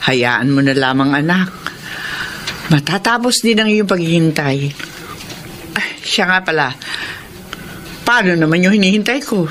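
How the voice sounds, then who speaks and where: An older Filipina mother, patient and full of advice. Her voice swings widely in pitch in a radio drama manner, with faint background noise. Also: expressive, measured pace, pauses often, a bit bright. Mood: soothing, advising. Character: older mother, patient, advising, eager for grandchildren.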